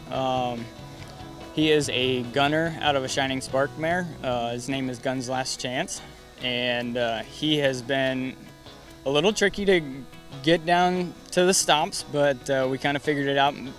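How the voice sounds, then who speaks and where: A man from Wisconsin, a horse trainer and rider, talking in a relaxed, plain-spoken way with fillers. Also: medium pitch.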